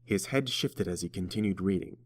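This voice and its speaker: A man's voice: low voice, brisk, no echo. A man reading scripted fiction aloud as the narrator of an audiobook.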